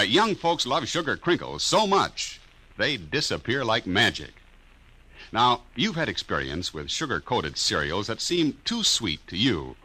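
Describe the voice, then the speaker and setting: A man's voice: mid-range voice, bright, forceful tone, poor audio. A man reading a cheerful 1950s radio commercial with folksy salesmanship. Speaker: commercial announcer.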